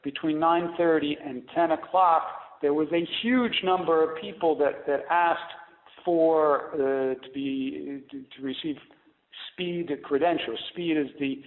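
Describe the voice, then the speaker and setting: A man speaking as a company executive on a conference call, explaining things off the cuff in a halting way with repeated and restarted phrases, over a phone line. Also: a little high, steady tone. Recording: narrow tinny phone line, roomy echo.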